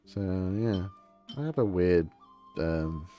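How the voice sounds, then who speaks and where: A man's voice: low voice, takes it slowly, lo-fi sound, faint music behind. A man streaming a game and chatting casually, rambling through his opinions in an informal, grumbling way.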